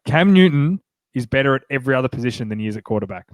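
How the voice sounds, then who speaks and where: A man's voice: mid-range voice, expressive, no room sound. Chatty Australian man with a nasal cold. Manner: chatty and upbeat, quick asides. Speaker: podcast host. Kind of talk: casual sports chat.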